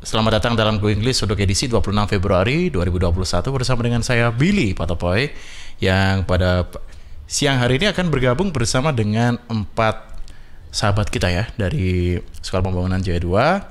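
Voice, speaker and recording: A man's voice: fairly low pitch, animated, quick pace. Male radio host. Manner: switches between Indonesian and simple English, chatty. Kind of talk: bilingual radio talk show hosting. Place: radio studio.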